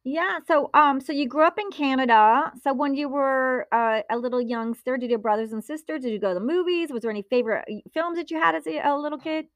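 A woman's voice: high-pitched, fairly quick, hardly any pauses, no room sound. A woman hosting an interview over a remote call, bubbly and chatty, laughing often and using lots of fillers. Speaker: host. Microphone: remote call from home.

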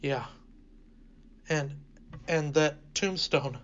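A man's voice: a little high, extremely slow, a bit bright, highs cut off. A man playing a frightened, superstitious old country fellow in a horror radio drama, speaking in a folksy, hesitant way. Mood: fearful, awed.

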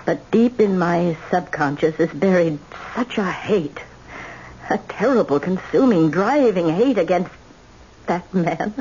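A woman's voice: lowish voice, expressive, measured pace, a little mellow. A woman playing an indomitable, bitter old grandmother, imperious and emphatic, in old-time radio drama style. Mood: pleading, brooding. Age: older. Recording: dull, noisy old radio recording.

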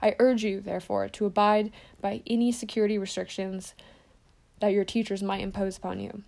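A woman voicing a wise old male headmaster in a storybook reading, measured and solemn, with a gravelly edge. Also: mid-range voice, little pitch movement, darkish tone, close to an everyday voice.

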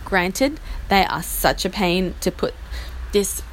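An Australian woman in her forties, husky from a chest infection, rambling through a self-deprecating update from a small outdoor patio. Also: medium pitch, animated, slow pace, slight background noise, poor audio. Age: 40s. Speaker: podcast host. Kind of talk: casual personal update. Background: faint rain.

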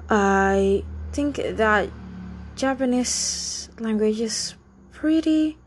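Young indonesian woman doing spoken exam practice, stops and restarts a lot, mid pitch. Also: darkish tone, breathy edge. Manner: halting, with many fillers and restarts. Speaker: student taking a speaking exam. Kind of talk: reading questions aloud and answering them.

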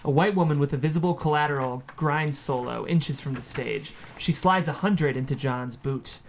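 A man with a somewhat high voice narrates a screenplay at a table read. He reads scene headings and stage directions as scripted narration. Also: moderate pace, vocal fry, slight background noise.

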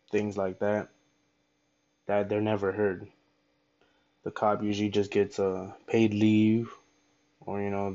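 Low-voiced man, impassioned podcast monologue. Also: a little mellow, muffled recording. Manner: impassioned, halting, repeats phrases, swears. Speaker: podcast host. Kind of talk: unscripted solo podcast monologue.

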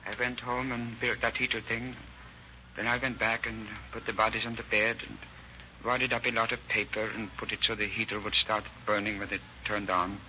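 An actor playing an unhinged, volatile robber and killer in a 1930s radio drama, menacing and agitated. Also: male voice, fairly low pitch, little pitch movement, normal speed, bright, forceful tone. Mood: dazed, subdued. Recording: muffled, noisy old 1930s radio recording.

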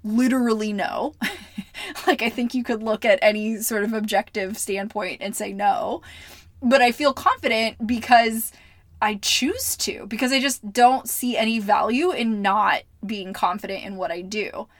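A woman hosts her own coaching podcast, talking in a chatty, upbeat way with plenty of casual fillers. Her fairly high voice is slightly hoarse today. The sound is close and dry, with no room around it. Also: unhurried, no room sound. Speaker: podcast host and life coach. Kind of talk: solo podcast talk.

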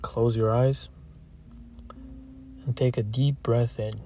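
Mellow, even-toned male meditation guide. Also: medium pitch, a little hiss or hum. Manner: calm, soothing, gentle, with long pauses between short phrases. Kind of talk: slow guided meditation, scripted narration.

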